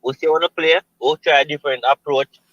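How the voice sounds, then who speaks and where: A Jamaican man calling in to a football show, making his point in a measured way with halting restarts. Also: fairly high pitch, fairly even intonation, fairly bright tone, no echo. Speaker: caller. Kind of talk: casual football talk. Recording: dull lo-fi call audio.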